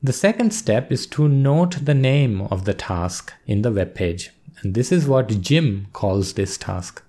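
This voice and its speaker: A man's voice: mid-range voice, a little slow. Man explaining code over screen recording. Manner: steady explanatory pace, walks through steps. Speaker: course instructor. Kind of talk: screen-recorded coding lesson.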